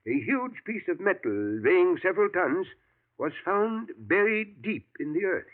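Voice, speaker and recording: An actor playing an elderly male professor, a thoughtful scientist who talks in a measured way and chuckles often, in a 1940s radio drama. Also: high voice, very animated. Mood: confiding. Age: older. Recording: tinny lo-fi old radio broadcast.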